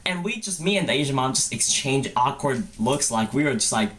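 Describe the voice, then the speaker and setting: A man's voice: medium pitch, lively intonation, fairly quick, a bit bright. A young man telling personal stories in a goofy vlog style, with playful, exaggerated mock-cute phrasing. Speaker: vlogger. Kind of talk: comedic personal storytelling vlog.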